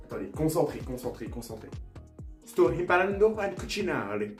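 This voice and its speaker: Playful young man, echoey room. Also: mid-range voice. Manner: playful, self-mocking, repeats phrases over and over. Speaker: vlogger. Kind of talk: casual vlog, trying out language-app phrases. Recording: echoey room with faint music.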